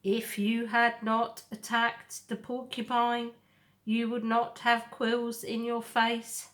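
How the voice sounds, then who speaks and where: A woman voicing a calm, helpful female robot in a children's storybook, speaking in a measured, deliberate way. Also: fairly high pitch, voice pushed up. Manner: measured, deliberate, even-tempered. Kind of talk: children's storybook character reading.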